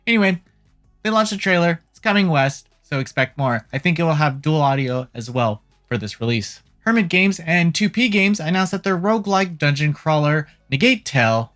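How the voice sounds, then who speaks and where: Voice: mid-range voice, fairly bright tone. A host giving a casual, opinionated video game news rundown with informal, joking asides. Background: background music.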